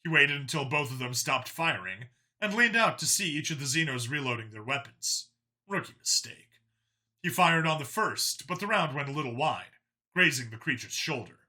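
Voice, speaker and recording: A man's voice: medium pitch, lively intonation, normal speed, sharp tone. A man narrating a science fiction audiobook in a dramatic, scripted storytelling style.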